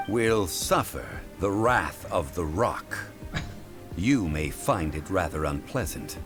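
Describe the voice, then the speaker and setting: An actor playing a calm, dignified scholarly man with formal, measured speech and stern authority in a video game. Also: low voice, over music. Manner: measured, formal, solemn delivery.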